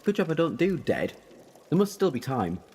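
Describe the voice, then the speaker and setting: A man's voice: a little high, big pitch swings, moderate pace, darkish tone, husky. A man playing an eccentric, defiant, youthful-looking time traveller in an audio drama, rambling in a playful, theatrical way. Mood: determined, quirky. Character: eccentric, whimsical, defiant time-travelling alien Doctor who looks young.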